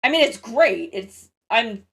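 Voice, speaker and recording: A woman's voice: a little high, big pitch swings, measured pace, creaky, fairly bright tone, husky. A woman with a congested, sniffly nose chats casually to livestream viewers, rambling, interrupting herself and swearing freely.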